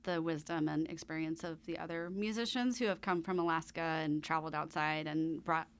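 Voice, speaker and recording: A woman's voice: deep voice, fairly quick. Female musician as interview guest, conversational, reflective, earnest.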